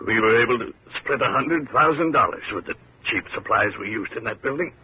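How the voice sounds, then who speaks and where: An actor playing a dying, corrupt mayor in a 1950s radio drama, weak, halting and bitter as he confesses. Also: medium pitch, animated, slight background noise, natural voice. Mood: confessing, strained. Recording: tinny, muffled 1950s radio recording.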